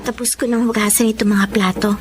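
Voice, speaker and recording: A woman's voice: on the high side, level tone, fast, close to an everyday voice. A Filipino woman playing a timid, polite young housemaid in a radio drama, deferential and apologetic. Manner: deferential, pleading. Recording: clearly noisy background.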